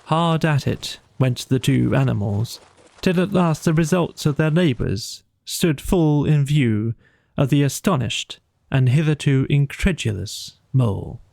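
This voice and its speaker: A man's voice: mid-range voice, lively intonation, unhurried, gravelly, darkish tone, no echo. A man reading a children's bedtime story as scripted audiobook narration.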